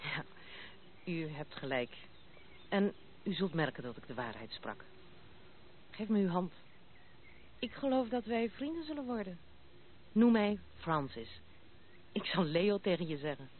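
A woman's voice: on the low side, big pitch swings. An actress playing a blunt, proud, self-assured young noblewoman in a radio play. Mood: proud, frank. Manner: brisk, self-assured, outspoken. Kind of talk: Dutch radio play acting. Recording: muffled narrow-band old radio recording, noisy.

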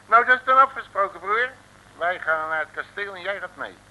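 Cocky detective, high and animated. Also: male voice, fairly quick, full character voice, poor audio. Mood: breezy. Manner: breezy, streetwise, swaggering. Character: cocky, jovial, unflappable male detective in a comic serial. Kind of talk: early-1960s Dutch radio serial acting. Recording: old radio recording, faint hiss.

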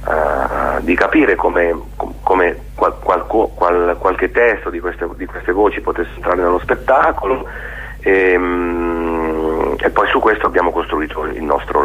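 A man being interviewed on radio, speaking in a halting, reflective way and often checking for agreement. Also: low voice, a bit bright, slightly rough. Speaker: theatre maker being interviewed. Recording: dull, lo-fi radio interview sound.

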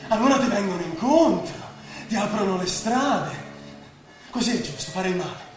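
A man's voice: high-pitched, expressive, normal speed, faint music behind. An actor playing an embittered, wounded male lover with bitter intensity in a theatrical stage drama. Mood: bitter, despairing. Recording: distant, very echoey, noisy stage recording.

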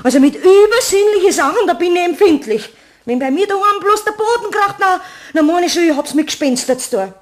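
High, fretful Bavarian cleaning lady. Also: animated, a little fast. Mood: fearful, agitated. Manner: fretful, keeps interjecting startled questions. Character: nervous, superstitious widowed cleaning woman, gullible and chatty. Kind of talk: German radio play acting.

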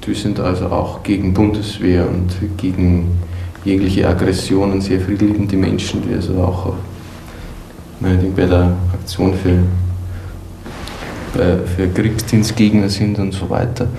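A low-voiced man speaks his opinion off the cuff in a halting way. His tone is flat and mellow with a gravelly fry, and the room is echoey. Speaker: member of the public giving opinions. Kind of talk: spontaneous spoken opinion in original-sound radio collage. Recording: echoey room, faint noise.

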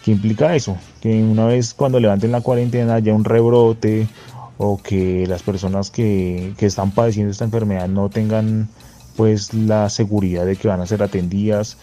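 Very mellow, low-voiced Colombian man. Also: steady tone, moderate pace. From Bogotá, Colombia. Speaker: guest correspondent sending an audio report. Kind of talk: informal analytical spoken report. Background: faint guitar music.

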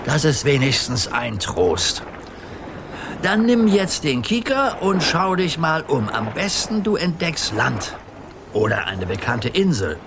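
Animated old grandpa sea captain. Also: male voice, on the high side, slow, background noise, exaggerated voice. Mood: resigned, indulgent. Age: older. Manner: theatrical nautical commands, indulgent. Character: playful grandfather acting as sea captain, good-natured. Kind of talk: children's radio play acting. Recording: clear boat and water sounds behind.

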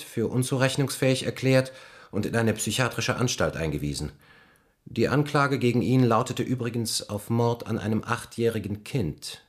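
An actor playing a sober, businesslike police inspector in a radio play, formal and matter-of-fact. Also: male voice, medium pitch, lightly acted. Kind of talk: German radio play acting.

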